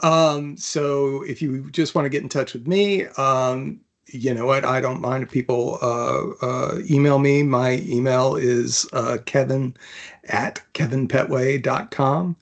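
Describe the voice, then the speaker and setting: Halting male author chatting casually. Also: medium pitch, steady tone. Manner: halting, filler-heavy. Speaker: author being interviewed. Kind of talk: casual chat.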